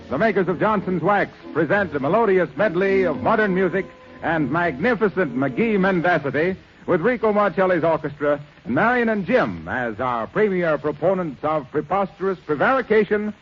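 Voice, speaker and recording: High-pitched male 1930s radio announcer. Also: animated, normal speed. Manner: polished, showy, formal delivery. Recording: muffled lo-fi 1930s radio broadcast. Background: orchestra music.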